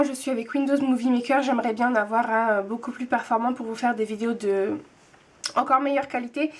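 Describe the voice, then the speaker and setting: A woman in her twenties chatting casually to camera, hesitant with many fillers and restarts as she answers tag questions. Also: high voice. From France. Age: 20s. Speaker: booktube vlogger, nurse.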